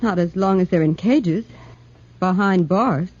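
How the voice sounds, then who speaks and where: An actress playing a plucky, determined young woman investigator, brisk and self-assured, in 1940s radio drama style. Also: on the low side, noisy background, natural voice. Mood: polite, probing. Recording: muffled 1940s radio recording.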